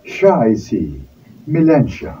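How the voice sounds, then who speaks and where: A man's voice: mid-range voice, sing-song, slow, mellow. A man with a Filipino accent delivering scripted radio drama narration. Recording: dull distant mic, faint noise.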